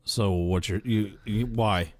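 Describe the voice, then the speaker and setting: A man co-hosting a podcast in casual chat, informal and quick to react with banter. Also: on the low side, fairly even intonation, a little mellow. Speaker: podcast co-host.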